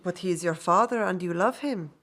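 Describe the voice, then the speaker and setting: An actress in a radio drama playing a gentle, devoted circus performer. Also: female voice, lowish voice, moderate pace, lightly acted. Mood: sympathetic.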